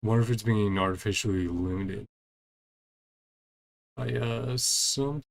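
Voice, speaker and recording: Low, creaky-voiced man streaming a game. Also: measured pace. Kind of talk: casual live gameplay commentary.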